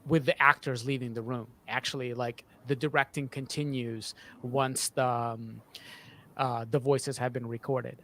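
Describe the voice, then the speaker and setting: A man's voice: mid-range voice, little pitch movement, bright, forceful tone. A man in his thirties, a sound designer, explaining his process in an interview from a home studio, restarting words as he goes. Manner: halting, often repeats and restarts words. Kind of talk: interview answer about creative process. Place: small home studio, video call. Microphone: desk boom microphone up close.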